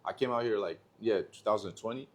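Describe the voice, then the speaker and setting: Young man, slangy casual podcast guest. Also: fairly low pitch. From Canada. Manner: slangy, loose, quick bursts with filler "like". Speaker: rapper guest.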